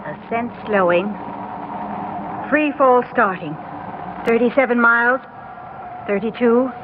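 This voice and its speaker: An actress playing a female scientist astronaut in an old radio drama, reading out instruments in crisp reports. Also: on the high side, takes it slowly. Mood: businesslike. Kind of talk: 1950s radio drama acting. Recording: tinny old radio broadcast with hiss.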